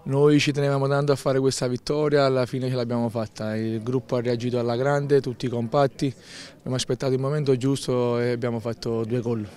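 A young man, a football defender, calmly answering post-match questions outdoors, repeating his phrases. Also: mid-range voice, fairly quick, clean tone. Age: young adult. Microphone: handheld interviewer mic.

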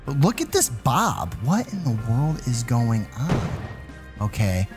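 A man hosting a gaming video, giving excited, exclamatory gameplay commentary with playful mock alarm as he addresses his viewers. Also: medium pitch, slow pace, soft background music.

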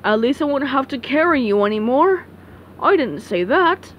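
A woman's voice: high-pitched, expressive, moderate pace, highs cut off, slight background noise, pitched below the performer's own voice. Woman doing a blustering greedy cartoon crab boss. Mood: gruff. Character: greedy, blustering older male crab restaurant owner. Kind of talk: amateur comic dub, cartoon voice acting.

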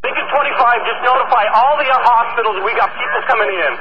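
A man's voice: very high voice, fast. A male police officer giving terse, commanding, urgent orders over a police radio. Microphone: two-way radio. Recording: muffled noisy police radio.

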